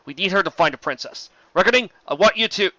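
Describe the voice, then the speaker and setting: A man's voice: high-pitched, expressive, quick pace, bright, forceful tone, dry recording, highs cut off, voice pushed up. A man voicing a stern pony guard lieutenant who gives urgent, clipped orders. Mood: urgent, commanding.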